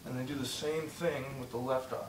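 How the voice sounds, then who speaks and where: A man teaching a movement drill step by step, encouraging and repeating himself, slightly hoarse and short of breath. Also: medium pitch, fairly even intonation, brisk, echoey room, recorded from a distance, slight background noise, rough recording. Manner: encouraging, repeats "just like that" and "good". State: losing his voice, a bit out of breath. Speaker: instructor. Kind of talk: step-by-step demonstration lesson.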